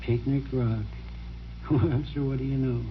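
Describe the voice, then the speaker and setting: A man's voice: medium pitch, fairly even intonation, slow, close to an everyday voice. An actor playing a plain-spoken, determined small-town man with a folksy, persistent manner in an old-time radio drama. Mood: casual, nostalgic. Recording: muffled, slightly noisy vintage radio recording.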